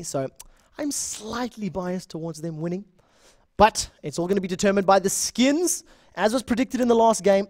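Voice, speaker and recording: A man's voice: sits high, darkish tone, dry recording, studio quality. A young man with a South African accent casting a live online game, talking in a chatty, rambling way, correcting himself and greeting viewers from the chat. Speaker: esports shoutcaster.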